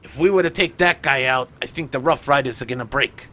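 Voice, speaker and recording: A man voicing a grizzled, battered old dwarf gangster with a gravelly voice and folksy tough talk in a tabletop game. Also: medium pitch, brisk, bright, forceful tone. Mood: gruff, defiant. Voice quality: gravelly, creaky.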